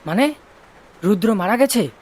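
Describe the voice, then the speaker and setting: Man doing a high, frightened young man. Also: animated, measured pace, darkish tone. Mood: fearful. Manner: nervous, pleading. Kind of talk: horror cartoon voice acting.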